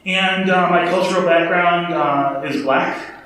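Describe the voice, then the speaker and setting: A man from Chicago introducing himself as an illustrator on a panel, hesitant and low-key. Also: measured pace, bright, forceful tone, slight background noise. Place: large convention room. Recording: echoey room, far from the mic.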